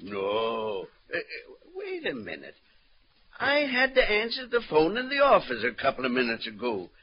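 An actor playing a cheeky, talkative theater stage-door attendant in 1940s radio crime drama, with colloquial wisecracks. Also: male voice, medium pitch, wildly swooping pitch, moderate pace, husky. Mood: chatty, puzzled. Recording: dull old radio recording.

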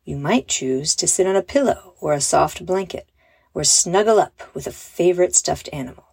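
A woman leading a guided meditation for children in a soft, breathy voice, with a soothing, gentle and encouraging manner. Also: on the low side, animated, normal speed, no room sound. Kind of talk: guided meditation, scripted narration.

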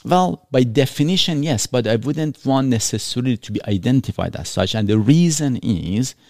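Man from Iran, podcast host, earnest, explains with examples, mid pitch, dry close sound. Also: animated, normal speed, gravelly, darkish tone, no echo. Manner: earnest, explains with examples, non-native phrasing. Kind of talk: reflective conversational podcast.

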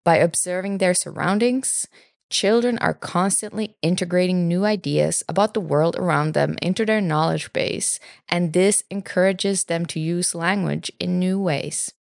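Low, gravelly Finnish woman podcaster. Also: dry recording, clean studio sound. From Finland. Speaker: podcast co-host. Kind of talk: scripted podcast narration.

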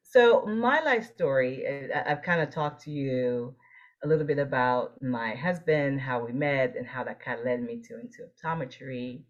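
A woman's voice: very deep voice, moderate pace, muffled recording. A woman from Haiti speaking earnestly and assertively in a conversational interview, repeating phrases for emphasis. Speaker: interview guest, optometrist and leadership coach.

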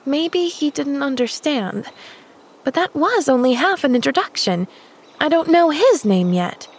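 Storybook girl, acted by a woman: young, friendly, chatty and eager, raised pitch. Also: animated, unhurried. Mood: puzzled, curious. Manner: bright, eager, chirpy. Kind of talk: storybook character voice.

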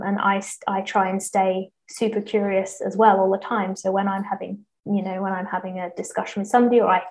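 Woman, native English speaker, language teacher; flat, even delivery, explanatory, restarts often. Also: medium pitch, low-quality recording. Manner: explanatory, lots of fillers and restarts. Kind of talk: casual interview conversation.

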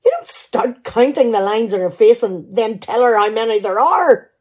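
A woman playing a nagging, exasperated, sharp-tongued middle-aged wife in a radio play. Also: on the high side, lively intonation, moderate pace, husky. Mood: indignant. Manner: exasperated, sarcastic scolding.

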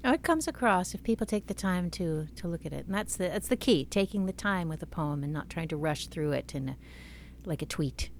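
A woman's voice: fairly low pitch, lively intonation, brisk, darkish tone, slight background noise. A woman hosting a conversational interview, engaged and asking follow-up questions. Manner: engaged, asks follow-up questions, reads lines aloud. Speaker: interview host.

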